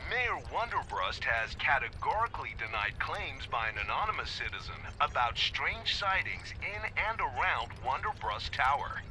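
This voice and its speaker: An actor playing a formal radio news announcer in a video game, reading a bulletin over a radio broadcast. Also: male voice, medium pitch, very animated, normal speed, hardly any pauses, noisy background. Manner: formal, projected newsreader delivery.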